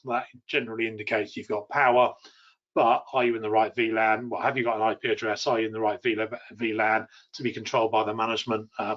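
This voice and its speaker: A man's voice: fairly low pitch, a bit bright, lo-fi sound. A man giving an informal live technical product demo, explaining in a loosely phrased, hesitant way. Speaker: product demonstrator and technical trainer.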